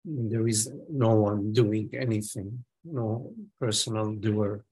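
A man leading a guided meditation as a teacher, giving gentle instructions in sparse phrases with long pauses between them. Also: on the low side, steady tone, slightly rough, poor audio.

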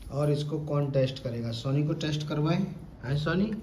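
A man's voice: fairly high pitch, moderate pace, darkish tone. Guy in his 30s doing a chatty kitchen cooking vlog, echoey tiled room, bit of hiss. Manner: chatty, addresses viewers as friends. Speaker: cooking vlogger. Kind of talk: casual home cooking demo. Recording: roomy tiled kitchen, faint background noise.

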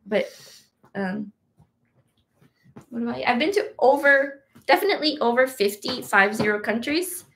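A young woman talks casually to camera in her bedroom, teasing her companion and laughing easily. Her voice sits a little high and carries a gravelly, creaky texture. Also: animated, moderate pace, pauses often, a bit bright, slight background noise, poor audio. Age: young adult. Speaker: vlogger. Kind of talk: casual chat. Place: bedroom, indoors.

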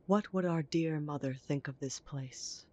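A woman acts out a scornful young werewolf heiress. Her voice is husky and breathy. She talks primly and cuttingly, in audio roleplay style. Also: sits low, a little slow, a little mellow, dull audio. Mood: disdainful. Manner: prim, disdainful, cutting. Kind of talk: audio roleplay drama acting.

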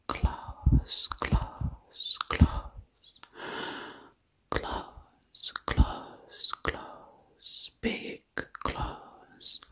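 A woman in her thirties whispers an ASMR story close to the mic, hushed, repeating words rhythmically. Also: poor audio. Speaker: ASMR creator. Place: small indoor room at home.

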